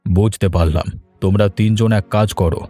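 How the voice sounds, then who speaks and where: A man voicing a paternal village headman in a cartoon story, giving measured, authoritative advice. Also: fairly low pitch, steady tone, warm tone, faint music behind, lightly acted. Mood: advising, paternal. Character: elderly village headman, paternal, calm, advising farmers. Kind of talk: Bengali cartoon story voice acting.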